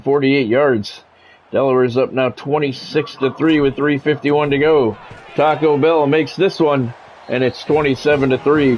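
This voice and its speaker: A man's voice: medium pitch, dull audio. A middle-aged man calling a football video game like a radio play-by-play announcer, in clipped phrases with informal asides. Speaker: gamer and play-by-play commentator.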